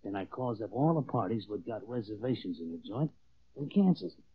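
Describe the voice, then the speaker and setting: Through a tinny old radio recording comes a boastful, dim American henchman. His rough voice swings up and down as he fishes for praise in gangster slang. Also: lowish voice, animated, fairly quick. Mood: eager, boastful. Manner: ungrammatical tough-guy slang, eager for approval. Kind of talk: 1940s radio acting.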